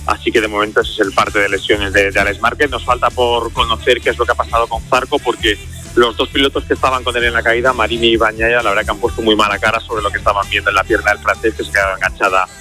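A male motorsport reporter with a low but very bright, forceful voice. He lays out the news in a measured, informative way, pausing on fillers. Faint music plays underneath him. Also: flat delivery.

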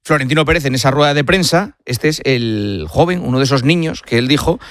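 A man hosting a late-night radio sports show, talking conversationally and pausing on fillers. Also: mid-range voice, a bit bright, a little hiss or hum. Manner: conversational, hesitant fillers between points. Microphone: studio microphone.

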